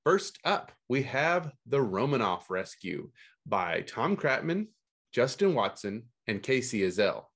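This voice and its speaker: A man's voice: medium pitch, big pitch swings, a little slow, fairly bright tone, breathy edge. A man sitting in as host of a book podcast, reading scripted news and blurbs with dry, mock-confused humor.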